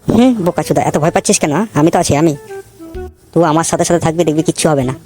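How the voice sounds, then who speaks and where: Man playing a rapid-fire bossy young village troublemaker. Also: on the high side, darkish tone, natural voice. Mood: scolding, bossy. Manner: scolding, swaggering, coarse village slang. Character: brash scheming young village boy, goat thief, bossy. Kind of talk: comedy cartoon dubbing. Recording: music playing behind the voice.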